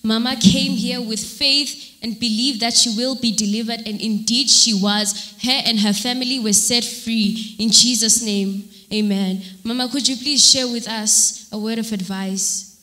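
Bright-voiced woman interviewing at church. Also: fairly high pitch, fairly even intonation, measured pace. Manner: formal, polite questioning.

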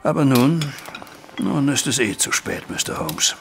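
A middle-aged widowed banker in an audio drama, worried and disappointed as a father. His mid-pitched voice has a gravelly creak. He tells his account formally and deliberately, over music. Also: big pitch swings. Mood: melancholy. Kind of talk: German audio drama acting, recounting events. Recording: music bed behind voice.

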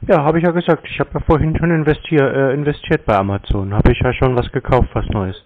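A man in a terse, deadpan phone conversation, giving stubbornly obtuse answers. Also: mid-range voice, fast talker, vocal fry. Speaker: scam-baiter taking calls from telephone scammers. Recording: narrow tinny phone-like sound.

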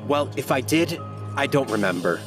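A man's voice: medium pitch, lively intonation, moderate pace, music in the background, lightly acted. A man playing a defensive, irritated working man in an audio drama.

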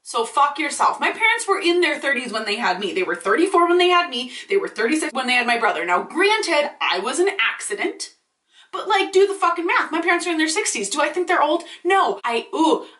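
A woman in her late twenties, vlogging in a chatty, animated, ranty way, swearing freely and a bit congested from allergies. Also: high voice, a little fast. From Michigan. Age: 20s. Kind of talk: casual ranting vlog. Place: small indoor room. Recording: roomy echo, slightly distant.

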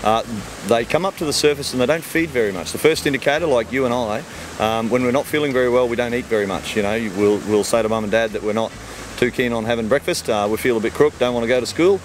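A man's voice: mid-range voice, fast talker, a little hiss or hum, poor audio. A middle-aged Australian man, a fish farmer, answering questions in an easygoing way outdoors by the ponds, speaking into a handheld mic. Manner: easygoing, explains simply with everyday comparisons. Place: outdoors beside farm ponds. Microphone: handheld mic held by interviewer.

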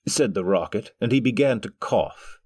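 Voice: medium pitch, animated, moderate pace, darkish tone, slightly rough, no room sound, studio quality. A narrator of an audio drama, reading a scripted story.

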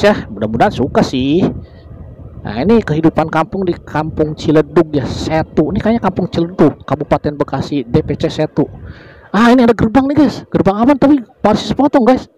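A man's voice: on the high side, a little mellow, rough recording. A man from Indonesia narrating a motorbike ride through village roads in casual, chatty fashion, constantly addressing his viewers and wondering aloud about the way ahead. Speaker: motovlogger.

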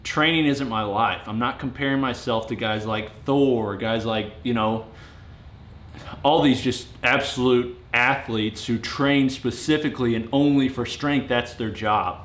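A man's voice: mid-range voice, unhurried, a bit bright. A man in his late twenties, a home gym reviewer, talking earnestly to camera in his garage gym with plain, unpolished phrasing. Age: 20s. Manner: earnest, plainspoken, with fillers and restarts. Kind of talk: casual vlog talk to camera. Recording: roomy garage echo, faint noise.

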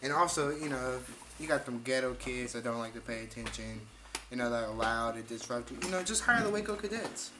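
A man's voice: mid-range voice, animated, a little fast. A young adult man chatting casually and informally with a cocky, joking manner. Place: indoor dress shop. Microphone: camera a few metres away. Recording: noisy room, camera at a distance.

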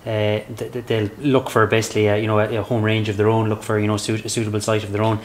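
A man's voice: on the low side, little pitch movement, fast, slight background noise. A man in his thirties with an Irish accent, explaining barn owls in a chatty way, full of "like, you know". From Ireland. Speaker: barn owl expert. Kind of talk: explaining while handling birds. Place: inside a large open farm shed.

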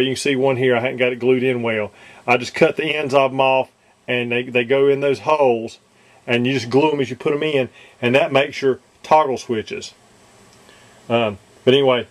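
A man casually showing how to fit a model cockpit kit in a workshop, talking haltingly with many fillers and restarts. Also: medium pitch. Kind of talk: casual hands-on demonstration. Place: workshop, indoors.

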